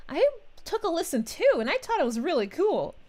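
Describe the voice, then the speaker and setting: A woman's voice: very high voice, sing-song, fairly quick, darkish tone. A woman streaming as a cheerful princess character, greeting her chat in a bubbly, giggly, sing-song voice.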